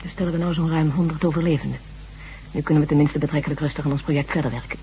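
An actress playing a grave, resolute survivor of a hunted humanlike species in a Dutch radio drama, speaking in an earnest, measured way. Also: female voice, low-pitched, flat delivery, dark tone. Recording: dull old radio recording with hum.

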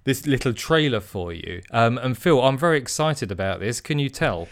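Brief: male podcast co-host, mid-pitched, chatty and playful. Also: animated, normal speed. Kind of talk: casual podcast chat. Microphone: remote call mic.

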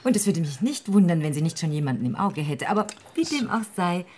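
Flirtatious society woman, lively swooping voice. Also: fairly low pitch, brisk, a little hiss or hum. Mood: knowing, gossipy. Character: flirtatious married society woman, worldly, scheming lover. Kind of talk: German radio play acting.

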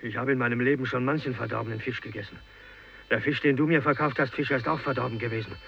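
An actor playing a poisoned, accusing customer in a German radio drama, with a gravelly voice and blunt, insistent phrasing. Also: male voice, medium pitch, fairly even intonation, quick pace, a little hiss or hum, close to an everyday voice. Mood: accusing, bitter. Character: poisoned, accusing customer, parent of a small child. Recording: dull radio drama recording.

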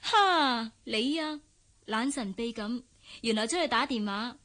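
A woman's voice: on the high side, measured pace, a bit bright. A woman playing an affectionate, girlish young bride-to-be in a Cantonese radio drama, with a coaxing, playful manner. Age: young adult.

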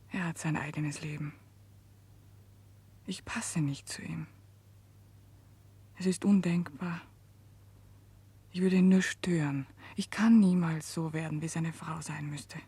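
A woman playing an anguished, self-denying prisoner in a 1960s German radio drama, breathy and often half-whispering. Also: lowish voice, steady tone, slow, pauses often, mellow, heavily acted. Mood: sorrowful.

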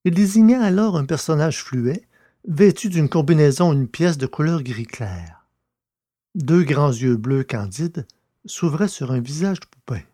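A man's voice: on the high side, lively intonation, unhurried, mellow, dry recording, clean studio sound. A man reading a scripted science-fiction story as its narrator.

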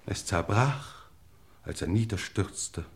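A genteel English lord with a lowish voice. He speaks formally and deliberately, with wry, world-weary grandeur, in the manner of 1950s radio drama. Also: lively intonation, vocal fry, heavily acted. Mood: measured, wry. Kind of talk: 1950s German radio play acting. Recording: 1950s radio play recording.

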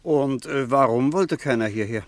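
A man's voice: mid-range voice, normal speed, dry recording, lightly acted. An actor playing a skeptical, well-read male tourist in a radio drama, probing with slightly hesitant questions.

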